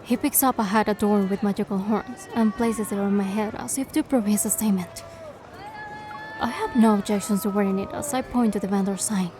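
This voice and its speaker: A woman's voice: mid-range voice, fairly even intonation, fairly quick, over music, close to an everyday voice. A woman voicing a composed, playful young noblewoman who narrates her fantasy game adventure in a fan dub. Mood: composed, curious. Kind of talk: first-person scripted narration and dialogue, fan dub.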